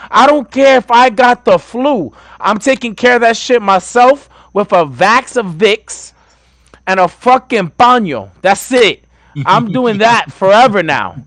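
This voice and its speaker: A man's voice: extremely high pitch, a bit bright, dry recording. A man co-hosting a podcast, talking in a rowdy, joking way and swearing a lot. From Puerto Rico. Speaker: podcast co-host. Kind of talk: casual profane banter.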